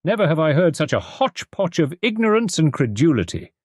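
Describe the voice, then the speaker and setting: A man's voice: on the high side, big pitch swings, fairly quick, a little mellow, no echo, clean studio sound, pitched above the performer's own voice. A man voicing an indignant, pompous older male scholar who blusters with contempt. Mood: scornful.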